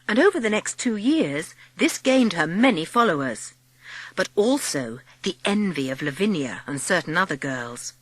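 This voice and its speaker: A woman reading a children's audiobook as narrator in an expressive, scripted storytelling style. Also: mid-range voice, slightly rough, no echo.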